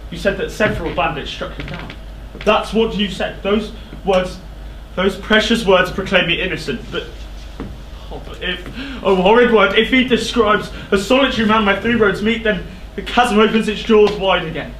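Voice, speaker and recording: A man playing a guilt-haunted king in a classical tragedy, declaiming in a halting, overwrought way on a theatre stage. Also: high voice, a bit bright. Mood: desperate dread. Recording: echoey theatre stage, clear background noise.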